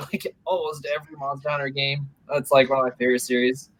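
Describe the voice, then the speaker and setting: A woman chatting casually about games as a podcast guest, giggly and excited in manner. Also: extremely low pitch, a little fast. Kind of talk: casual gaming chat.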